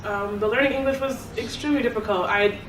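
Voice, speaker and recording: A woman's voice: a little high, steady tone, fast. A young woman who grew up in South Korea, chatting casually as an interviewee, laughing often and restarting her sentences. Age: young adult. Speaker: interviewee, club secretary. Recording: echoey room, distant mic, noisy.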